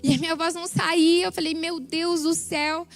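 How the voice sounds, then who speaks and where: A woman preaching a passionate sermon with a Brazilian Portuguese accent, speaking with emphatic repetition and a slightly worn, hoarse voice. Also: extremely high pitch, little pitch movement, moderate pace. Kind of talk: sermon testimony.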